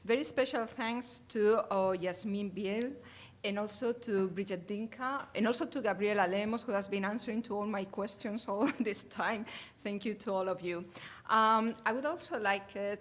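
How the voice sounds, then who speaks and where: A woman with a Spanish accent delivers a formal academic lecture at a conference. Her voice sits at a middling pitch, and she moves through the material carefully, often pausing to hesitate. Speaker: conference presenter.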